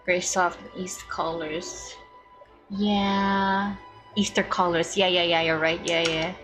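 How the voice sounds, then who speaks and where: A woman's voice: fairly low pitch, fairly even intonation, takes it slowly, low-quality recording. A young woman from the Philippines giving casual, gushing reactions as she streams a video game. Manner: gushing, chatty. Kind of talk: casual livestream reaction commentary. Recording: game music behind the voice.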